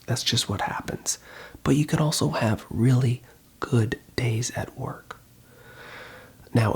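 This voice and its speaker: An ASMR roleplayer as a phone counsellor, speaking in a very breathy whisper at a middling pitch. The delivery is reassuring and unhurried, like a helpline operator taking calls. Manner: reassuring, unhurried advice-giving. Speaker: ASMR host playing a call centre phone counsellor.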